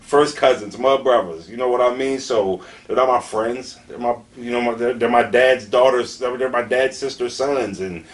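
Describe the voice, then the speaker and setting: A man's voice: medium pitch, normal speed, hardly any pauses. A man in his late twenties with a Philadelphia accent, talking candidly in an interview in an emphatic, repetitive way full of slang and swearing. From Southeastern Pennsylvania, USA. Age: late 20s. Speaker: battle rapper being interviewed. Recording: distant mic with faint background noise.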